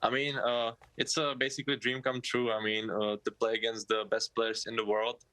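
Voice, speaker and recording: A man's voice: mid-range voice, flat delivery, brisk, sharp tone, no echo. A man in his twenties with a Czech accent, a native Czech speaker, answering interview questions as a college athlete in a hesitant way. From Czech Republic. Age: 20s. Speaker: college baseball player, interview guest.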